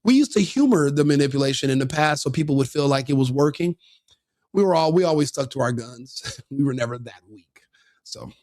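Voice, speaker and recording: A man's voice: mid-range voice, animated, a little fast, a little mellow, no room sound, studio quality. A man giving crude, mocking commentary on a video, sneering and laughing often.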